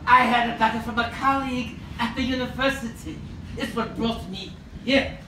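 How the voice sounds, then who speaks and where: A woman's voice: on the high side, moderate pace, lightly acted. A woman on a theatre stage playing an obsessed, imperious archaeology professor with a grudge, in theatrical radio-play style. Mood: insistent. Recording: echoey theatre, distant mic, noisy background.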